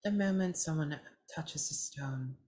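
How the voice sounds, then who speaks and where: A woman's voice: low voice, measured pace, mellow, husky. A woman playing a tabletop roleplaying game on a video call, improvising in character on a close mic.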